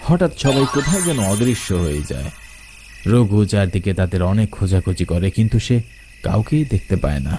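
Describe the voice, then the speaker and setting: Animated male horror-story narrator. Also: on the low side, fairly quick, over music. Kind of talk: dramatic scripted horror storytelling.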